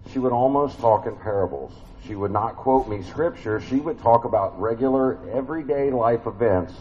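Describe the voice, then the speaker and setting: A man's voice: fairly low pitch, a little mellow, dull audio, slight background noise. A man with a big voice preaching a family sermon in a church, conversational and folksy, with many fillers and restarts.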